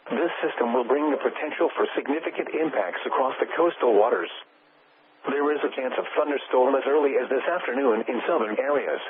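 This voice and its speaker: A synthetic male announcer reads out warnings in a mechanical, even-paced way, his pitch jumping abruptly on some words. He sits at an ordinary middle pitch. His voice comes through a thin, tinny radio receiver, with crowd chatter clearly heard behind. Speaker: automated weather radio announcer. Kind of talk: synthesized reading of weather bulletins.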